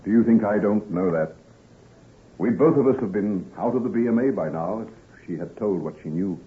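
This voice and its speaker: A man playing a troubled, weary gentleman doctor in an old-time radio drama, guarded and subdued. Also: on the low side, normal speed, narrow, tinny audio, lightly acted. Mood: uneasy, resigned.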